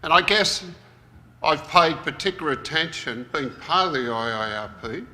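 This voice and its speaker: Guy presenting at a conference, bright voice, big hall echo, kinda reflective. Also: fairly high pitch, expressive, moderate pace. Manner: reflective, thinks aloud with restarts. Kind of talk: plenary conference talk.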